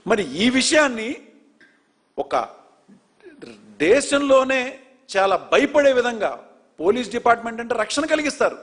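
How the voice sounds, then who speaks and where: A male news presenter with a notably high voice, talking in an indignant, emphatic way. He keeps pressing with rhetorical questions as he comments on the story. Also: very animated, a little slow, long pauses, fairly bright tone.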